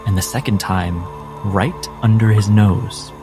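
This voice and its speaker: Male game master, unhurried and suspenseful, weighty pauses, dramatic storytelling over prominent music. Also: fairly low pitch. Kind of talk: dramatic second-person narration of a tabletop game. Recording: music behind the voice.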